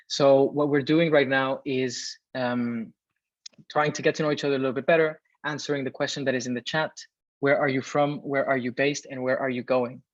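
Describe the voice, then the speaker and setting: A man's voice: mid-range voice, no room sound. A man from Venezuela in his thirties, hosting a live webinar over a video call, chatty and full of fillers as he reads out chat messages. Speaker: webinar host. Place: home or office room in Berlin. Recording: dull, dry video call audio.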